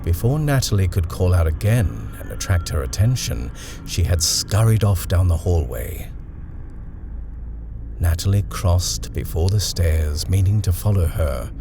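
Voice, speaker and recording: A man reading a horror story aloud in a measured, expressive narration. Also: low-pitched. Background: music.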